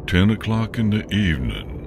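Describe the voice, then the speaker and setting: A man voicing a puzzled pony switch keeper in an audio story, gravelly and muttering to himself. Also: lowish voice, big pitch swings, normal speed. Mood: puzzled, musing. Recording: noisy recording.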